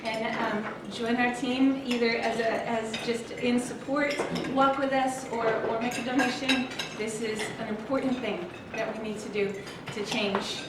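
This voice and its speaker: A woman's voice: fairly high pitch, steady tone, a little slow, hardly any pauses. A woman making an earnest, heartfelt appeal to a club meeting. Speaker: club member. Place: banquet room with dishes clinking. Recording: echoey room from far off, loud noise.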